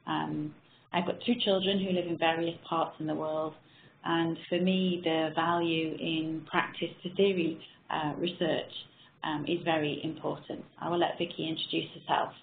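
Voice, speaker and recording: A middle-aged woman from the UK with a quiet, husky voice, giving a webinar presentation in a measured way with frequent ums. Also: low-pitched, flat delivery, slight background noise. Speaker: presenter, nurse researcher. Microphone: computer mic on a web call. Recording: echoey tinny online call audio.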